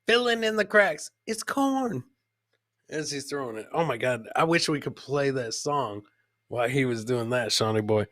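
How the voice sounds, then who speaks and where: A man's voice: mid-range voice, expressive, moderate pace, fairly bright tone, dry recording, studio quality. A man hosting a casual comedy clip show, chatting to his co-host and viewers and often repeating phrases for comic effect. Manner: chatty, addresses co-host and live viewers by name.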